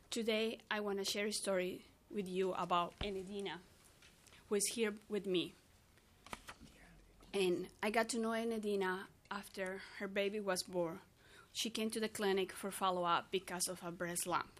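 A woman's voice: medium pitch, a little hiss or hum. Peruvian woman testifying in accented English. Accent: Peruvian Spanish. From Peru. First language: Spanish. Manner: earnest, non-native phrasing. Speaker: outreach worker testifying. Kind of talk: committee testimony.

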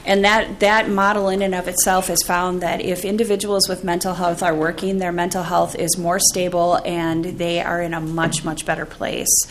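A woman with a somewhat low voice answers a committee's questions. She explains things in a flat, even way with faint noise behind her. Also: moderate pace, few pauses. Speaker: service provider witness. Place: legislative hearing room.

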